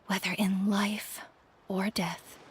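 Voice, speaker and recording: A woman voicing an ailing veteran warrior at peace with death, speaking in a gentle, reflective, sighing way in a dramatic video game scene. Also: mid-range voice, level tone, slow, a little hiss or hum, natural voice. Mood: serene, reflective. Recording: music behind the voice. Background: game music.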